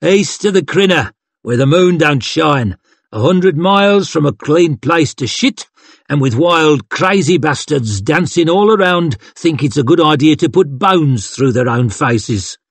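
A man's voice: a little high, animated, measured pace, breathy edge, no room sound, pitched above the performer's own voice, exaggerated voice. Man putting on a lilting, mocking hillman. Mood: scornful. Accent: lilting up-and-down invented hill-folk accent. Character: mocking, crude tattooed male hillman warrior. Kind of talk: audiobook character dialogue.

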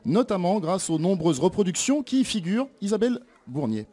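A man's voice: high voice, sing-song, fairly quick, dark tone. A man presenting a radio chronicle in a chatty, playful way. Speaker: radio columnist.

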